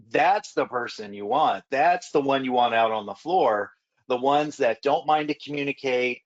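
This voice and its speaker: A man working as a food safety consultant, a little raspy from a recent illness, answering panel questions and telling stories from his work. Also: medium pitch, dull audio. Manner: storytelling, repeats words when restarting.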